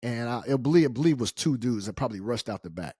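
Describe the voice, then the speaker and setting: A man's voice: mid-range voice, animated, fast, dark tone, husky, no echo, clean studio sound. A middle-aged American man telling a personal story casually and colloquially, acting out the dialogue as he goes. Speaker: storyteller, show host.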